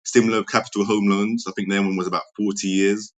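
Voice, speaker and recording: A man, a property investor, calmly walking through his deal strategy over a remote audio chat, with a lot of ums. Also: fairly low pitch, quick pace. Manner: steady, methodical, many ums. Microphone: remote audio-chat connection.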